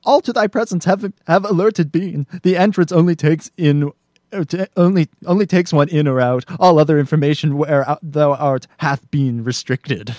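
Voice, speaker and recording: Man reading fussy anxious robot, old verse, higher, dry sound. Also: normal speed, dry recording, close to an everyday voice. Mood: fretful. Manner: reading verse from a script, raised and loud.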